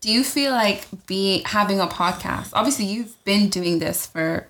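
A woman's voice: mid-range voice, animated, moderate pace, fairly bright tone, poor audio. A woman co-hosting a podcast in casual chat, rambling and restarting her questions often. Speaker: podcast co-host.

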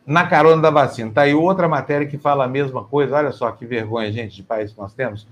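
A Brazilian man hosting a morning news show, reading and commenting on stories in an informal, indignant way and directing the crew on air. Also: mid-range voice. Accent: Brazilian Portuguese.

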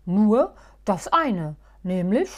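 A woman voicing a calm, strict little male gnome who explains things in a measured way. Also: medium pitch. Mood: calm explaining.

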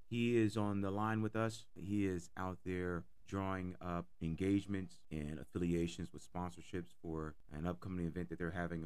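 A man hosting an interview podcast, his voice hoarse from a cold, talking with enthusiasm and repeating words. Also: on the low side. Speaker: podcast host. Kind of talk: casual interview.

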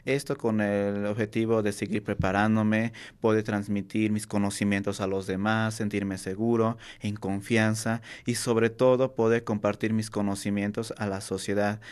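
A young man whose first language is Nahuatl, answering interview questions earnestly, with many pauses, fillers and restarts. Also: fairly low pitch, little pitch movement, clean tone. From Mexico. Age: young adult. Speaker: Nahuatl workshop teacher and university student.

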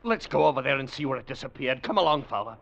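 An actor in an old radio drama playing a resolute, analytical explorer, deliberate and grimly composed under danger. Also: male voice, mid-range voice, lively intonation, creaky, a bit bright. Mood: curious, determined. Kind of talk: 1940s-50s radio drama acting. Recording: dull, noisy old radio recording.

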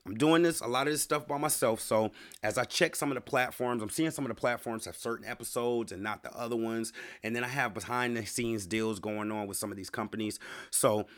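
A man's voice: mid-range voice, brisk, a bit bright. Laid-back man hosting a solo podcast. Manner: loose, conversational, lots of "you feel me" and "man". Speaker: podcast host. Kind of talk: casual solo podcast talk.

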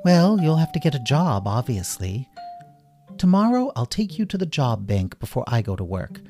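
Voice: mid-range voice, very animated, normal speed, dark tone, soft background music, voice pushed up. A performer voicing a practical, frowning friend in a children's story, matter-of-fact and firm.

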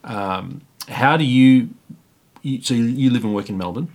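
A man hosting a conversational interview, asking curious questions and dropping in quick reactions. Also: mid-range voice, a little mellow.

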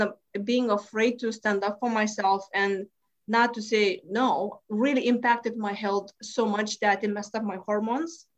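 A woman's voice: on the high side, poor audio. A woman in her forties with a Lithuanian accent, a stress management coach telling her story in an interview, with many fillers and slightly broken English.

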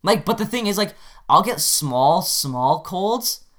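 Teasing teen boy, bright lively voice. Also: sits high, slow pace. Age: teens. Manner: slangy, teasing, says "bro" a lot. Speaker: podcast co-host. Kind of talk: casual chat.

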